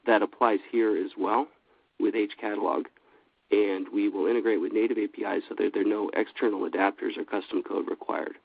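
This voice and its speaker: A man with a cold giving a steady, businesslike webinar presentation, with frequent fillers. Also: fairly low pitch, brisk, creaky, no room sound. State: has a cold. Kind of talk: scripted product presentation. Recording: dry, phone-like line.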